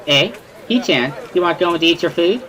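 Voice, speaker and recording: Woman playing a bubbly, animated teasing schoolgirl. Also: on the low side, normal speed. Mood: playful urging. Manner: playful, exclamatory, repeats words, laughs loudly. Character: bubbly, excitable, teasing teenage schoolgirl with booming laugh. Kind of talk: amateur dramatic reading of a visual novel.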